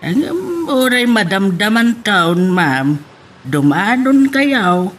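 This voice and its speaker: A man's voice: high voice, big pitch swings, a bit bright, recorded from a distance, slight background noise, exaggerated voice. An actor playing a humble old village grandfather in an Ilocano radio drama, speaking hesitantly with an Ilocano accent. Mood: humble, welcoming. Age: older. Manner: slow, hesitant, halting.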